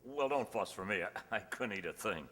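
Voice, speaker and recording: A man acting in a radio sitcom as a shy, oblivious biology teacher, earnest, halting and pedantic. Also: lowish voice, lively intonation, bright, forceful tone. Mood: flustered, pedantic. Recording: echoey hall, noisy background.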